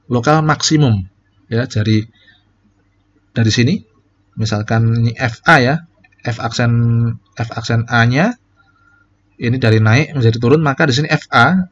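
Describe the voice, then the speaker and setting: A man's voice: medium pitch, slight background noise. Casual male calculus lecturer, muffled sound. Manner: casual, explains step by step, many fillers and self-corrections.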